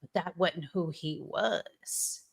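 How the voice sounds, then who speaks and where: A woman hosts a casual podcast in a slightly low voice. She speaks slowly and reflectively. She often repeats a phrase deliberately as she shapes her thought. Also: vocal fry. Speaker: podcast host.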